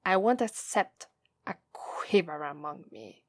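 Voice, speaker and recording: Woman acting a slow, haughty Italian-accented demoness. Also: lowish voice, very animated, no echo. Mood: commanding, haughty. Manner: condescending, drawn-out, taunting delivery. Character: haughty, teasing, menacing female demon master. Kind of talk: ASMR roleplay acting.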